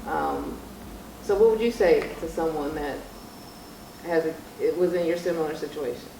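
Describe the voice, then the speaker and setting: A woman's voice: low-pitched, moderate pace, warm tone. A young woman hosting a casual vlog, asking questions in an informal chat. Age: young adult. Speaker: vlog host interviewing her mother. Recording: echoey room, distant mic, faint noise.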